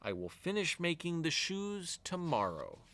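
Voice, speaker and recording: A man's voice: fairly high pitch, wildly swooping pitch, measured pace, a bit bright, full character voice. Man reading as an earnest, wondering shoemaker. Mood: resolved. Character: humble, hardworking shoemaker, a grown man full of wonder.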